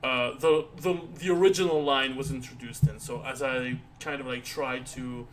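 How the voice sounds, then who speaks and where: A tired man chatting casually on a live stream, rambling and halting with many restarts and fillers. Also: mid-range voice, steady tone, noisy background. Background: soft background music.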